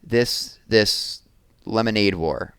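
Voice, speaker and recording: A man's voice: fairly low pitch, slow pace, smooth, no room sound. A prickly young boy from a storybook played by a man reader, blunt and grumpy. The voice is close and dry. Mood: tentative.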